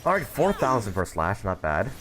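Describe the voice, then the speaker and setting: Male streamer, lowish and expressive, casual off-the-cuff gameplay commentary. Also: moderate pace. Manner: rambling, thinking aloud. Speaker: live streamer.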